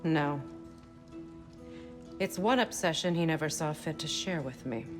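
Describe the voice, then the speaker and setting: A stern, guarded goddess. Her voice sits a little low, and game music runs faintly behind. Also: a little slow. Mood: wary. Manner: cool, guarded.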